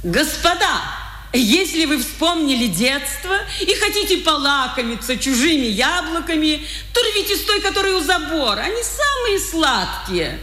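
An actress playing a dignified, steadfast older mother in a 1950s radio drama, measured and dryly ironic. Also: high-pitched, big pitch swings, sharp tone, heavily acted. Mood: mocking sweetness.

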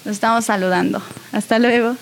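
A woman's voice: mid-range voice, fairly even intonation, brisk, vocal fry, poor audio. A woman hosting a radio show in an earnest, encouraging, conversational way, with small giggly asides. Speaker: radio host. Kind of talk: warm conversational radio sign-off.

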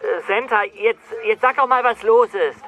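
An actor playing a worried, impatient boyfriend on a phone call, insistent, in a radio play. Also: male voice, very high voice, steady tone, moderate pace, faint music behind. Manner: insistent, urgent.

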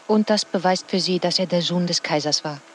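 Low-voiced, methodical woman researcher played by an actress. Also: a little fast, soft background music, lightly acted. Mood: probing. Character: determined woman documentary researcher, methodical and insistent. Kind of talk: German radio drama acting.

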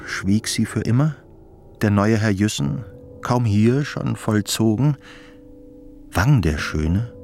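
An actor playing a wry narrator, delivering literary, mock-solemn narration in a radio drama. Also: male voice, fairly low pitch, a little mellow, a little hiss or hum. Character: wry, melodramatic narrator of a dark comedy.

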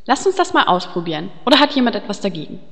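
A woman's voice: medium pitch, big pitch swings, very fast, fairly bright tone. Chatty, vain adventuress played by a woman, swooping melodic delivery with reverb, fantasy comedy radio play. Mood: chirpy.

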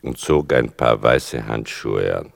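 Radio play narrator: exacting son, extremely low, slow and deliberate, gravelly. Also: male voice, animated, dry recording, studio quality, exaggerated voice. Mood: measured, solemn. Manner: deliberate, pedantic. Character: exacting son narrating his mother's story.

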